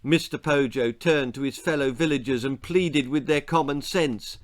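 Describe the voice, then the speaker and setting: A man reading a children's horror-comedy story as its author, in a theatrical, relishing, deliberate manner. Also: medium pitch. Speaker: author reading own stories aloud.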